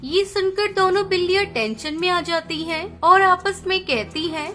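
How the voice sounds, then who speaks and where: A woman's voice: extremely high pitch, moderate pace, sharp tone. A woman reading scripted narration of a children's story as its storyteller. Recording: clear music bed under narration. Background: music.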